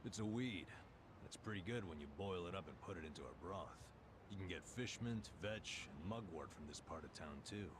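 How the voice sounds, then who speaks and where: A scruffy, good-humoured drifter from a video game. His voice is deep, with a wry, matter-of-fact delivery. Clear noise sits behind the dialogue. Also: lively intonation, moderate pace. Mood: wry, easygoing. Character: scruffy, good-humoured down-and-out man who forages weeds.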